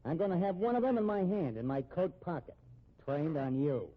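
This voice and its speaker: A man acting in a 1950s radio drama as a cornered fugitive gunman, sardonic and menacing, with a husky voice. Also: fairly high pitch, big pitch swings, normal speed. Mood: taunting, commanding. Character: cornered fugitive gunman, cool, taunting, protective of sister. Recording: muffled old radio recording.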